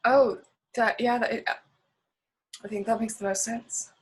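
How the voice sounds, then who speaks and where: An actress playing a young writer, hesitant and accommodating, in a stage play. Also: female voice, a little high, steady tone, unhurried, breathy edge. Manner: hesitant, tentative. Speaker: apprentice stage actor.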